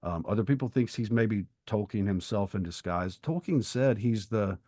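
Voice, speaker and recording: A man with a low-pitched voice talks as a podcast co-host about books. He explains things in a measured, thoughtful manner, and the sound is dry, with very little room in it. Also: lively intonation, warm tone, dry recording. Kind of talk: conversational literary discussion.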